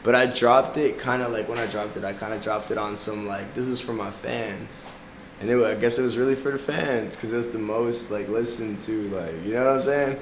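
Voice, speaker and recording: A man's voice: on the low side, fairly even intonation, fairly quick. A young adult man from South Florida whose first language is Portuguese, talking in a laid-back, slangy way and laughing often as a music artist being interviewed. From South Florida, United States. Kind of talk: casual interview chat. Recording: echoey room with background noise.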